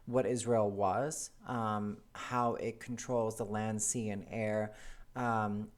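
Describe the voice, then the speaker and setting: A woman's voice: rumbling low, little pitch movement, takes it slowly. A woman in her forties, originally from South Africa, talking casually to camera in a hesitant, earnest way, with many ums and restarts. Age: 40s. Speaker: vlogger. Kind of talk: casual personal monologue to camera.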